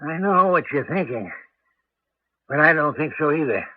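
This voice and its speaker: Grumbling, hesitant grandpa, elderly, radio play. High querulous voice, dull recording. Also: male voice, lively intonation, normal speed, no echo. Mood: bewildered, doubtful. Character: anxious, grumbling old grandfather. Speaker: radio drama actor. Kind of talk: 1980s radio drama acting. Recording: muffled old radio broadcast.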